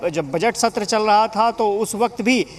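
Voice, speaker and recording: A man's voice: high voice, measured pace. A man reporting live for television news, explaining at length with frequent hesitations.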